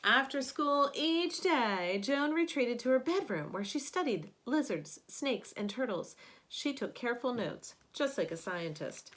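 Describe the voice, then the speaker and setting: A woman reading a picture book aloud like a teacher at bedtime, with expressive emphasis on key words. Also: high-pitched, measured pace.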